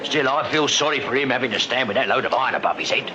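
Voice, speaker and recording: A man's voice: mid-range voice, lively intonation, rapid-fire, background noise, lightly acted. A man playing a cheeky, plain-spoken Victorian police constable in a radio drama, chatty and wide-eyed, with a working-class London accent. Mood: astonished. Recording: muffled radio drama amid loud ambience.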